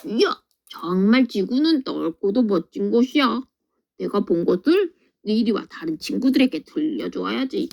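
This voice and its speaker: A woman's voice: mid-range voice, lively intonation, a little slow, vocal fry, a little mellow. A woman voicing a cheerful young baby panda traveler, delighted and wide-eyed.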